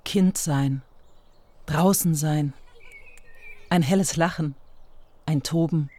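Slightly high-voiced male radio play narrator. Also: slow, mellow. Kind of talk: literary radio play narration addressing the subject directly.

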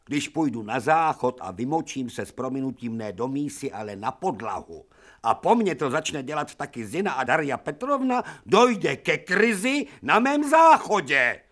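Imperious professor, high animated voice. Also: male voice. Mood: scornful. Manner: declamatory, sarcastic rhetorical questions. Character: imperious older male professor, irascible bourgeois surgeon. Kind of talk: theatrical radio drama acting. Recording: music faintly behind the voice.

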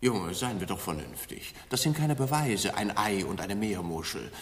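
An actor playing a haughty, pedantic old university rector in a satirical radio play, pompous and condescending. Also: male voice, lowish voice, lively intonation, normal speed, gravelly. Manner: pompous, condescending, scholarly Latin flourishes. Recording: long echoing hall reverb.

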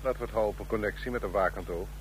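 An actor playing a cool, manipulative secret agent in a radio drama, deliberate, insinuating and coaxing. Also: male voice, fairly low pitch, lightly acted. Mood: cool, businesslike. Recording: noisy, humming old radio recording.

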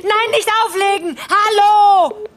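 An actress in a radio drama playing a proud, defiant doctor with a haughty, cutting and formal way of talking. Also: female voice, very high voice, fairly even intonation, takes it slowly, a bit bright, heavily acted. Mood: desperate, afraid. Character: proud, defiant woman doctor held by criminals.

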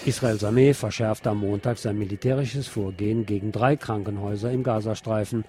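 A man's voice: fairly low pitch, steady tone, a little fast, music in the background. A man with a standard German accent reading scripted news as a radio news reader.

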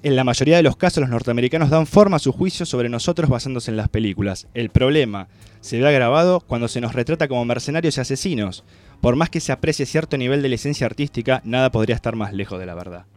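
A man's voice: medium pitch, fast talker, darkish tone. A man hosting a radio show, reading out quotations and commenting on them in a talk style. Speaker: radio host. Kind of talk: reading quotes and commenting on air.

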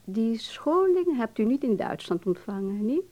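An actress in a Dutch radio drama playing an alluring, amused, worldly film-world lady with musing pauses. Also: on the high side, big pitch swings, highs cut off. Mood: amused, flirtatious.

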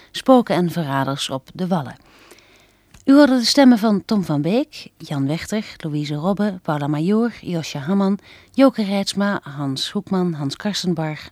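A radio announcer delivers scripted closing credits. The voice sits at a medium pitch and is recorded very dry, with no room sound. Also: a little mellow, dry recording.

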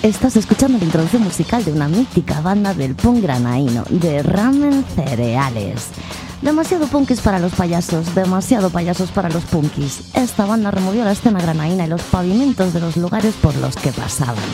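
A woman's voice: lowish voice, animated, normal speed. Ironic woman narrating over punk music. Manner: dramatic, ironic. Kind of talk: mock documentary narration.

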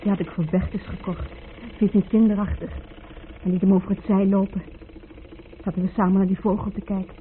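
An actress playing an anxious, fragile former patient in a radio play, plaintive and hesitant. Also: female voice, medium pitch, little pitch movement, a little fast, natural voice. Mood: wistful, regretful. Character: anxious, fragile woman just out of hospital, pleading. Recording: narrow phone-like radio play, faint noise.

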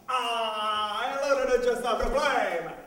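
A man's voice: very high-pitched, unhurried, sharp tone, heavily acted. A man playing a brash, scheming servant in a commedia dell'arte comedy, with a Neapolitan accent and a blustering, exclamatory delivery. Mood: cunning. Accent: southern Italian, Neapolitan. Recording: very echoey stage, distant microphone.

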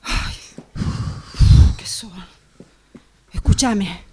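A woman's voice: drawn-out words, a little mellow. A woman with an Argentine accent acting an affectionate, reassuring partner in a radio drama exercise. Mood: puzzled, insistent. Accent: Argentine Spanish. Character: affectionate, reassuring woman in a ten-year relationship.

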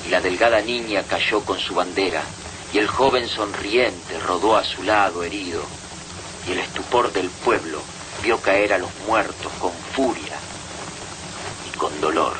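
A man with a low voice recites verse solemnly, phrase by measured phrase. The recording has clear hiss and noise. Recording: noisy, hissy archive recording.

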